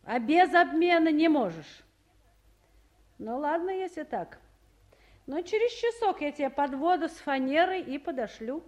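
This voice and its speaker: A woman's voice: high voice, expressive, a little slow, a little mellow. An actress playing a busy woman official, motherly yet commanding, in a 1950s radio play. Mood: firm, reproachful. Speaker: official in charge.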